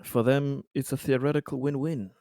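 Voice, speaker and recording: A man with a medium-pitched voice joins a casual tabletop game discussion. The recording is dry and close, with no room sound. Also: steady tone, normal speed, warm tone, no room sound. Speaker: player in a tabletop roleplaying game.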